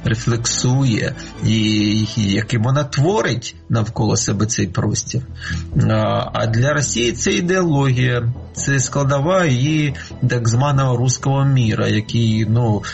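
Halting, gravelly-voiced male scholar. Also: medium pitch, darkish tone, faint music behind. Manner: thoughtful, halting, searching for words. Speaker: scholar interviewee. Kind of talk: reflective interview answer.

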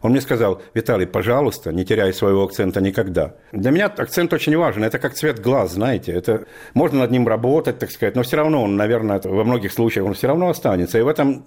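Brisk, low-voiced man from Ukraine. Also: darkish tone. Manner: thoughtful, frequent verbal fillers. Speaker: writer being interviewed. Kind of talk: relaxed conversational storytelling.

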